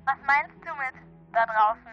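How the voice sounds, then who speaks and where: High girl's voice, dull phone sound, female actor. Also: normal speed. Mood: concerned. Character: cautious girl detective on a phone call. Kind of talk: children's radio play acting. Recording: dull phone-call sound, faint music.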